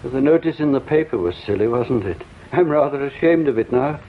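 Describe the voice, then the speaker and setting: An actor playing a weary, educated English murderer in a radio drama, genteel, wry and ruminative. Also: male voice, medium pitch, expressive, fairly quick, lightly acted. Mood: wry regret. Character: weary educated male murderer, wry, self-reproachful, courteous. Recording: tinny, hissy old radio recording.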